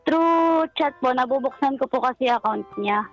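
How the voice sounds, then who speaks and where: A woman's voice: sits high, moderate pace, muffled recording. A young woman with a Filipino accent calling a radio show, politely recounting a personal story. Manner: polite, deferential. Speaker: caller. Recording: radio phone-in with music behind.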